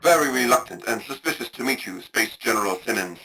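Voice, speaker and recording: A man voicing a tactless little robot interpreter with a metallic, rasping, filtered voice in an audio science fiction story. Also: medium pitch, expressive, fairly quick, sharp tone, a little hiss or hum, poor audio. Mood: blunt, insulting. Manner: blunt, formal, declarative. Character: small hovering robot interpreter, tactless and rudely blunt.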